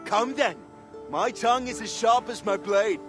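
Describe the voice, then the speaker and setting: A man's voice: sits high, a little slow, over music. A man playing a boastful, sneering Viking navigator in a video game, with a pompous, taunting delivery. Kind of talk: dramatic video game acting.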